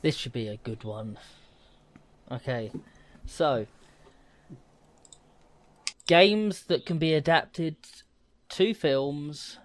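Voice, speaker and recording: A man's voice: on the high side, expressive, drawn-out words, pauses often. A man hosting a casual podcast panel chat, informally steering the talk and putting questions to the others. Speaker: podcast host.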